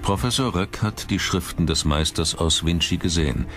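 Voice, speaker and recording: A man reading scripted documentary narration in a measured, dramatic manner. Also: deep voice. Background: background music.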